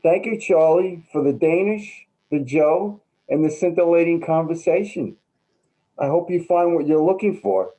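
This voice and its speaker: Male actor as downtrodden street character, theatrical and resigned, very mellow timbre, higher pitch, for a stage drama. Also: animated, normal speed, low-quality recording. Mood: wry, friendly. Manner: folksy, wry, theatrical. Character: downtrodden, colorful homeless man, resigned but friendly. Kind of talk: staged reading of a play.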